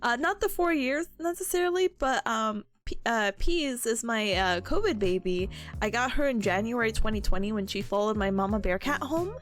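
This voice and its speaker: Bubbly high-pitched young woman, voice worn. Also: lively intonation, normal speed. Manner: bubbly, giggly, greets viewers by name. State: voice partly gone. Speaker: streamer hosting a study stream. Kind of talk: casual chat reading viewer messages.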